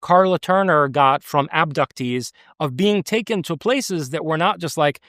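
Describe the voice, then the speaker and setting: A man's voice: on the high side, moderate pace, a bit bright, no room sound, studio quality. A man from the United States speaking as an interview guest, earnest and emphatic, with frequent restarts. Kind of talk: conversational interview.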